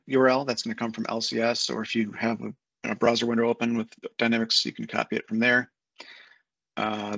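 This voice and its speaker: A man in his thirties walking through a software setup on a screen share, talking casually step by step with fillers, recorded on a desk mic at home. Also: mid-range voice, dry recording. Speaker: software consultant. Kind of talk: screen-share tutorial walkthrough. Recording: dull lo-fi dry sound.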